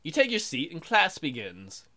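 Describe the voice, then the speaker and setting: A man's voice: on the high side, huge pitch swings, moderate pace, bright, forceful tone. A man hosting a let's play, reading game text aloud and adding casual, sarcastic, joking commentary.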